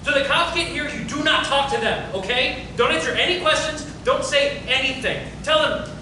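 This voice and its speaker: A man playing an anxious, apologetic, well-meaning friend in a stage tragedy reading, urgent and halting. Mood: urgent warning. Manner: urgent, halting, repeats himself. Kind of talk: theatrical table reading of Southern Gothic tragedy. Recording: very echoey, distant room with rumbling noise.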